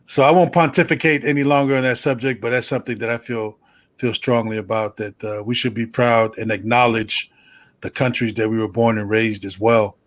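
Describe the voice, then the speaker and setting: A man's voice: medium pitch, fairly even intonation, a little fast. A man hosting an interview, affable and reflective, who often checks in with "right?" as he makes his points. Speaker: interview host. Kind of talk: conversational interview.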